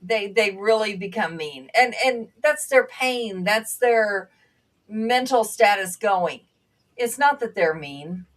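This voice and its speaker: A woman whose first language was Bulgarian, a panel guest and former nursing assistant, speaking earnestly and reflectively with halting restarts. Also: mid-range voice, expressive, far from the mic. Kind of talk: conversational panel talk.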